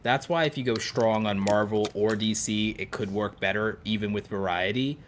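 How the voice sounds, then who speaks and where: A man's voice: lowish voice, moderate pace, a little hiss or hum. A man co-hosting a casual podcast, explaining his advice enthusiastically and thinking aloud in long, listed-out points. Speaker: podcast co-host and content creator.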